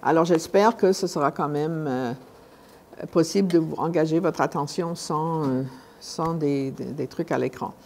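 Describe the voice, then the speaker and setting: Older female guest lecturer, deep mellow register, informal and halting delivery with frequent rephrasing. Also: slight background noise. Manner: halting, conversational, often repeats and rephrases words. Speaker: guest speaker at a conference.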